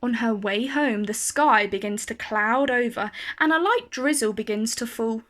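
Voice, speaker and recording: A woman reading a children's picture book aloud in an expressive, carefully phrased storytelling style. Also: on the high side, moderate pace.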